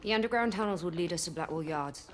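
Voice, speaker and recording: An actress playing a proud, resolute rebel queen with an Indian accent, speaking formally and gravely in a video game. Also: lowish voice, a little hiss or hum, natural voice. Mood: urgent, resolute. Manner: formal, measured, imperious. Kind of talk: dramatic video game cutscene acting.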